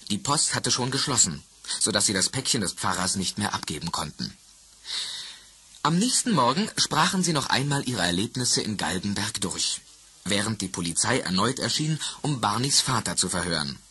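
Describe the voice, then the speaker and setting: A man's voice: fairly low pitch, big pitch swings, normal speed, pushed and bright, husky. A man narrating a children's radio play as a scripted storyteller.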